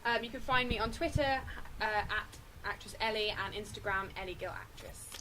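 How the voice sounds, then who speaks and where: An actress plugging her monthly event, in a clear, upbeat way. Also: mid-range voice, moderate pace, sharp tone, poor audio. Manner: clear, promotional. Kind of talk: casual chat.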